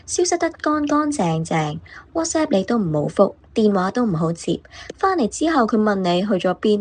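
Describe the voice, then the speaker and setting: Woman playing a lively, knowing senior office lady. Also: fairly high pitch. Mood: sly, knowing. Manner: knowing, coaxing, theatrically emphatic. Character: savvy older female colleague, slyly coaching a junior. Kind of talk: Cantonese workplace radio drama acting.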